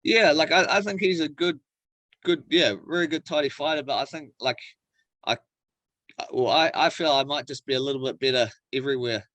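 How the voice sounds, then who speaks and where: A man's voice: on the high side, a little fast, fairly bright tone, no echo. A man with a New Zealand accent, a fighter chatting casually in an interview in laid-back, slangy phrases. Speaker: professional MMA fighter being interviewed.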